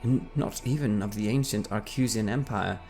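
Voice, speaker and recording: A man with a low voice reads a first-person backstory in an even, wry manner. He lets phrases trail off softly rather than pushing them. Soft music sits quietly behind the reading. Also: normal speed. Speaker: player reading character backstory. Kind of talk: dramatic reading in character.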